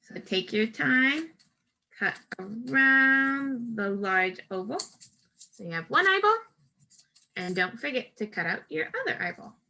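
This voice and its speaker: A woman's voice: a little high, expressive, slow, gravelly, pushed and bright, low-quality recording. A woman teacher giving a step-by-step craft lesson to first graders, speaking directly to children in simple, patient instructions.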